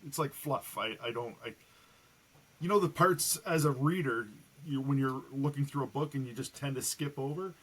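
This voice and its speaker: A man speaking casually as a guest author in a podcast conversation, with a husky voice. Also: mid-range voice, normal speed, poor audio.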